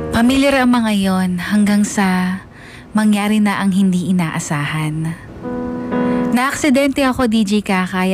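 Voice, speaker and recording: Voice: medium pitch, close to an everyday voice. A performer playing an earnest young adult who narrates a painful past in a radio drama, reflective and confiding. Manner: reflective, confiding, mixes Tagalog and English.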